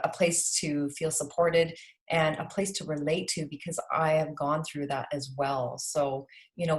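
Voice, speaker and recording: Low-voiced chatty woman in her late forties. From Canada. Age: late 40s. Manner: chatty, with many "you know" fillers. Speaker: health and nutrition coach. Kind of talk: guest in a podcast interview.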